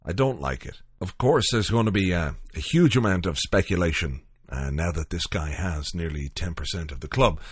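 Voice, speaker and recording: A man's voice: sits low, moderate pace, few pauses, breathy edge, no echo, clean studio sound. A man hosting a podcast from home late at night, chatting loosely and rambling with lots of fillers and asides. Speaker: podcast host.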